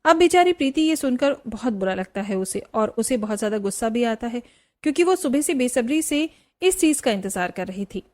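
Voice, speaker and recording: A woman's voice: fairly high pitch, lively intonation, a little fast, a little mellow. A woman reading scripted narration for a cartoon family story.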